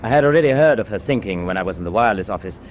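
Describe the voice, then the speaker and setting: Male actor as German naval chief engineer, radio drama: lowish pitch, concerned, formal and respectful, faint noise behind. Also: expressive, rapid-fire, lightly acted. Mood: grave, anxious. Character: worried, dutiful German ship's chief engineer.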